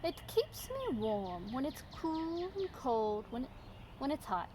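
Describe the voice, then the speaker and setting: A woman voicing a contented, proud female turtle in a children's storybook, drawing words out slowly and deliberately. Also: sits high, sing-song, a little mellow, a little hiss or hum, pitched above the performer's own voice, heavily acted. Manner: drawn-out, deliberate delivery. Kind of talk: children's storybook read-aloud.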